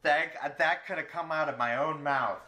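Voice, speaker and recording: A man hosting a casual podcast interview, halting and searching for his words as he talks. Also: a little high, pushed and bright. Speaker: podcast host.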